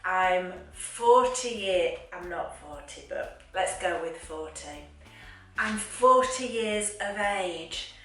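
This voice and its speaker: A woman's voice: lowish voice, expressive, takes it slowly, fairly bright tone. A woman chatting in a wry, exasperated way about things that annoy her. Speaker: vlogger. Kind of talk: casual chatty monologue. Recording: very echoey room, voice from a distance, faint music.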